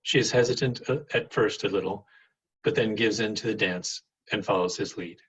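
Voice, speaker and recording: A man with a husky voice reading stage directions as scripted narration for a play. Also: lowish voice, normal speed, highs cut off.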